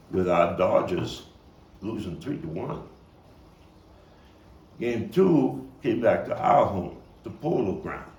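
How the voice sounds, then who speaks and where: An actor as slow, haunted Brooklyn storyteller, gravelly. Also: male voice, deep voice, a little mellow, heavily acted. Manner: halting, dramatic, with long pauses. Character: Brooklyn man haunted by a boyhood street tragedy. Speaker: playwright and actor. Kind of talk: theatrical monologue at a table read. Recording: roomy, distant, noisy live capture.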